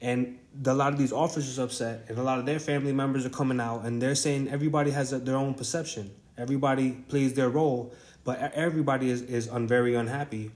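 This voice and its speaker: Halting, rambling man interviewing. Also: medium pitch, fairly even intonation, darkish tone. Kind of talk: phone interview, casual commentary.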